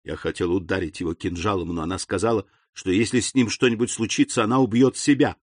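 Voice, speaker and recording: Man acting gloomy lovesick young man, low and subdued. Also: steady tone, fast, no echo, studio quality, lightly acted. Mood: brooding. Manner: subdued, trembling despair, resolute.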